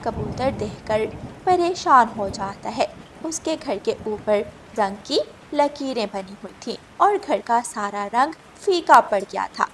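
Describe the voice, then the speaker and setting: Female narrator with a high voice for children's animated tales. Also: slow, a little hiss or hum, poor audio. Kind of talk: scripted children's story narration.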